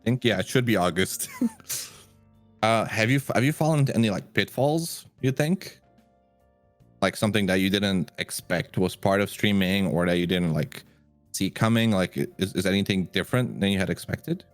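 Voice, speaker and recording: Very deep-voiced man, dry and close. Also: animated, normal speed, no room sound, faint music behind. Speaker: podcast co-host. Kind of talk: casual chat.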